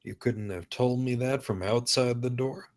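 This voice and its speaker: A man's voice: mid-range voice, moderate pace, a little mellow, close to an everyday voice. Man acting a curt small-town police detective. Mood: irritated. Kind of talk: screenplay table read.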